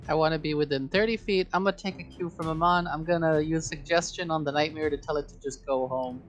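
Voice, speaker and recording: Tabletop player, casual, mid pitch, music faint behind. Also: steady tone, fast talker. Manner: casual, asks rules questions.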